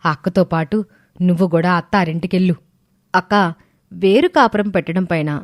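A woman's voice: lowish voice, a little mellow, no room sound, clean studio sound, close to an everyday voice. A woman voicing a bossy, defensive middle-aged mother in a cartoon story. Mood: scheming. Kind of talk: scripted cartoon dialogue.

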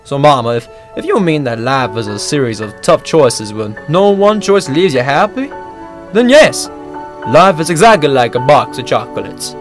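A man's voice: medium pitch, big pitch swings, soft background music, lightly acted. A man doing a rambling simple-minded young guy. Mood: earnest. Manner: halting, repeats words, reasons aloud.

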